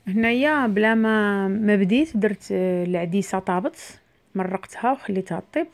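A woman with a Moroccan Arabic accent talks quickly through a home recipe. She explains each step as she cooks, in a relaxed, chatty way. Her voice sits at a middle pitch. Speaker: cooking vlogger. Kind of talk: casual cooking voice-over.

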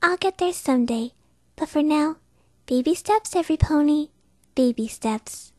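A woman voicing a timid, gentle female cartoon pony who speaks shyly and hesitantly. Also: high voice, animated, moderate pace, dry recording, clean studio sound. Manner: shy, hesitant, breathy and quiet. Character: timid gentle female pony, soft-spoken animal lover. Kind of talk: cartoon voice acting reel.

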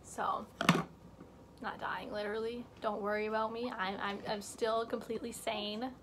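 A woman's voice: fairly high pitch, level tone, moderate pace, noisy background. A teenage girl hosting a casual home vlog, chatty and joking, recorded on a computer camera mic in a room at home. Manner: chatty, joking, self-mocking asides; drops to hushed whispers while showing things up close. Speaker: vlog host. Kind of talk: casual chat. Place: indoor home room with shelves.

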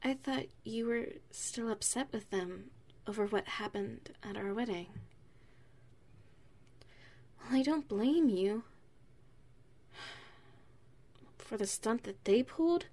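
A woman playing an affectionate, playful wife in an ASMR roleplay, speaking in a soft, intimate manner. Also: fairly high pitch, normal speed, few pauses. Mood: concerned, gentle. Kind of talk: ASMR roleplay, one side of a dialogue.

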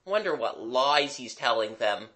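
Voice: medium pitch, expressive, measured pace, a bit bright. A narrator voicing a scornful young boy who grumbles witheringly in a storybook. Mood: grumbling, withering. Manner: withering, muttering.

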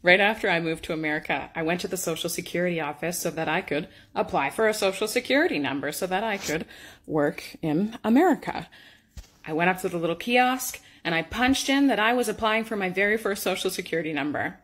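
A woman's voice: on the low side, expressive, fairly quick, fairly bright tone. A young woman from Canada tells an incredulous storytime into a phone at arm's length. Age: young adult. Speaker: TikTok storyteller. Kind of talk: storytime to camera.

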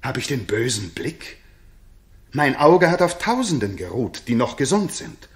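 A man's voice: medium pitch, sing-song, a little slow. An actor playing an indignant noble king in a 1960s German radio drama, speaking solemnly and theatrically. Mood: urgent, probing. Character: shocked noble king of Bohemia, slandered friend, indignant.

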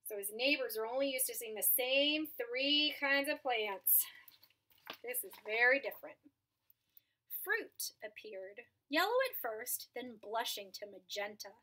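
A woman's voice: on the high side, expressive, a little slow, bright, forceful tone. A young woman reading a picture book aloud at home into a webcam, stopping to explain the pictures to children. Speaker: read-aloud reader. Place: small room at home, indoors. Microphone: webcam at close range.

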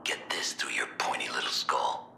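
A hostile, tough army officer in a video game. His voice is rock-bottom deep and gravelly, with a forceful edge. He speaks in menacing, scornful bursts in a roomy space. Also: fairly even intonation, normal speed, in a whisper, heavily acted. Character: gruff, tough, hostile male military officer. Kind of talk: dramatic video game voice acting.

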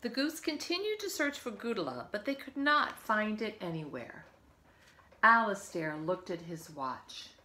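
A woman's voice: mid-range voice, sing-song, unhurried, pushed and bright, slight background noise. A woman in her fifties reads a picture book aloud to young children, expressively, with chatty asides, recorded at home. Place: living room at home, by a window.

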